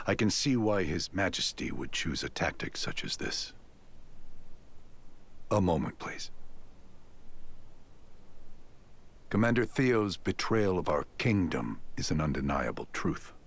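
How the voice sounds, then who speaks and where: A man's voice: low-pitched, sing-song, measured pace, gravelly, pauses often, slightly rough, heavily acted. A man playing a grave, formal knight captain in a video game, speaking in archaic courtly phrasing. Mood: measured, resolute. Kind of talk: scripted video game dialogue.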